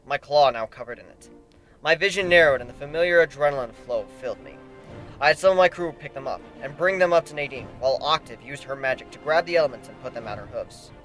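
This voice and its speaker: A man giving an animated, theatrical dramatic reading of a first-person fan fiction story. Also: on the high side. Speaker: amateur story narrator. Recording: background music under the voice.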